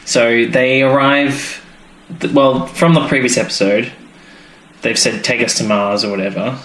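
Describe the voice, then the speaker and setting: A man's voice: medium pitch, steady tone, measured pace, a bit bright. A man co-hosting a podcast, chatting casually and rambling as he trails off mid-thought. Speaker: podcast co-host. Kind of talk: casual chat reviewing a TV serial. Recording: echoey room with background noise.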